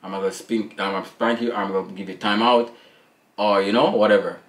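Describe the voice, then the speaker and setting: A Jamaican man in his thirties co-hosting a casual family vlog chat, animated and slangy, laughing often. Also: lowish voice, brisk. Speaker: family vlogger, co-host. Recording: echoey room with faint noise.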